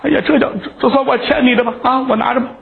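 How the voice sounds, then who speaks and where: A man's voice: extremely high pitch, steady tone, racing, vocal fry, pitched above the performer's own voice. A man playing an honest young peddler who stammers in a flustered, raised voice. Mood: stammering. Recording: tinny phone-like sound.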